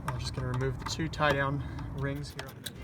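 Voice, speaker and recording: A man's voice: mid-range voice, level tone, quick pace. A man hosting a casual do-it-yourself installation tutorial, explaining informally step by step as he works. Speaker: DIY installation host. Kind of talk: casual hands-on tutorial narration. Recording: distant mic, loud outdoor vehicle noise.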